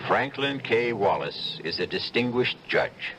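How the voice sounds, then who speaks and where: An actor playing an ominous, wry storyteller, narrating a suspense tale deliberately and dramatically in old-time radio style. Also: male voice, medium pitch, animated, over loud music, close to an everyday voice, poor audio. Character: ominous, sardonic male storyteller of suspense tales.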